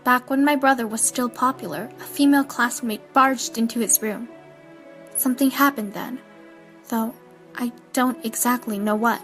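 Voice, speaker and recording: A woman playing a reserved, brooding teenage girl in an amateur audio drama, measured and guarded in manner. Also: a little high, steady tone, fairly bright tone, soft background music, natural voice. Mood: wistful.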